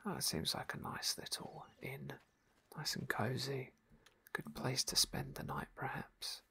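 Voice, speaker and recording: A man's voice: medium pitch, level tone, measured pace, vocal fry, slightly rough. A man whispering wry commentary as he plays a fantasy game for ASMR. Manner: soft, wry, playful asides.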